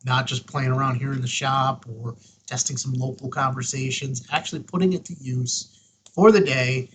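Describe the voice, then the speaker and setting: A man's voice: mid-range voice, fairly even intonation, moderate pace, a little hiss or hum. A man hosting a casual hands-on product review, talking in a folksy, emphatic way with short punchy phrases. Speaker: host of a ham radio review show.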